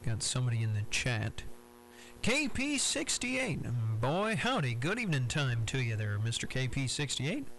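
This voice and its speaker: A man's voice: medium pitch, big pitch swings, normal speed, a bit bright. A man with a Southern American accent hosting a CB radio check-in, greeting callers in a folksy, courteous, casual way. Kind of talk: casual radio roll call. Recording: noisy CB radio session, clear background noise.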